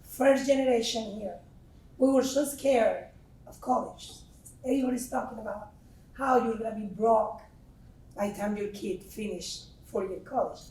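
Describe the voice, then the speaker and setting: A woman's voice: fairly high pitch, normal speed, dark tone, a little hiss or hum. A woman in her forties from Mexico City with a Mexican Spanish accent, speaking as a grateful parent from the audience in a chatty way. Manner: chatty, grateful, laughing often. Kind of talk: spontaneous audience remarks. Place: large meeting room. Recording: echoey room, voice from far off the mic.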